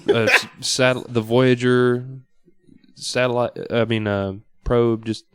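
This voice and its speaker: A man with a clear, resonant voice of medium pitch talks casually as a podcast guest. He thinks aloud in a halting way, recorded dry in a studio. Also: flat delivery, fairly bright tone, no room sound. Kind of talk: casual chat.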